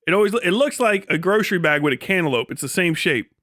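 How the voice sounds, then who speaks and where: A man live streaming, rambling comedically and casually to his chat with lots of swearing, folksy slang and animated, exaggerated storytelling. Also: sits high, fast, no room sound, studio quality. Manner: profane, folksy slangy phrasing.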